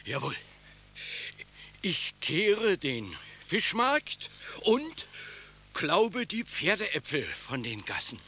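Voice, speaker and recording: A poor, humble street sweeper in a radio play, high and husky, speaking very slowly with a lively lilt. He stammers and answers dutifully. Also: exaggerated voice. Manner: dutiful, stammering, says jawohl.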